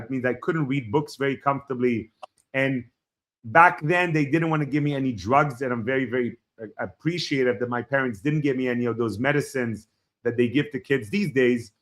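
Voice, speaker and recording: A man in his forties from Israel, a Hebrew speaker, telling his life story as a podcast guest in a reflective, halting way. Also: medium pitch, fairly bright tone. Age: 40s. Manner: reflective, halting starts with frequent fillers. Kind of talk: personal storytelling in an interview.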